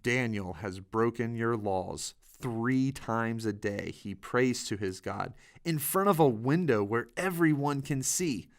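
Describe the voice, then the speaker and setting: A man's voice: mid-range voice, slow, hardly any pauses. Man playing a scheming young royal official. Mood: accusing.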